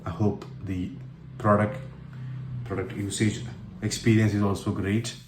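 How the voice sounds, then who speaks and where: A man with an Indian accent narrating an unboxing casually and unscripted, in halting phrases broken by pauses. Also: on the low side, little pitch movement. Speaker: tech and motorcycle vlogger. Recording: roomy echo with faint background noise.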